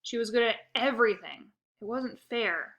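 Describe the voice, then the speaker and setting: A woman narrating a children's book aloud with expressive, first-person storytelling. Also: fairly high pitch, normal speed, muffled recording.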